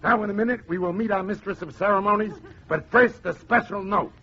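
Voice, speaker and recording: A man's voice: high-pitched, a little fast, pushed and bright, slightly rough, exaggerated voice. An actor playing a bombastic, declamatory male game show announcer in a 1940s radio comedy parody. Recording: dull, muffled old radio recording.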